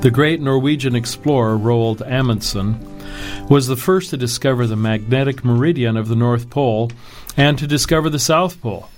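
A man hosting a Bible discussion program, reading a scripted opening and then interviewing a guest in an earnest, measured tone. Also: medium pitch. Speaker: radio Bible program host.

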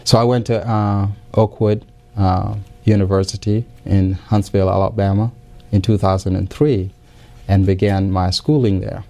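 A low, mellow-voiced man speaking English as a second language, answering interview questions in a measured, halting way. His pitch stays flat and even, and he often pauses to search for words. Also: creaky. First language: not English. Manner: many fillers and restarts, careful, measured. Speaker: interview guest. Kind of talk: hesitant conversational interview answers.

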